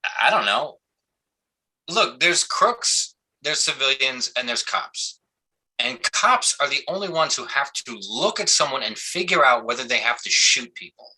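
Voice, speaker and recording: A man's voice: mid-range voice, animated, unhurried, bright, forceful tone, husky, heavily acted, low-quality recording. A man playing a defensive, foul-mouthed cop and army veteran in a live play reading, speaking bluntly and evasively.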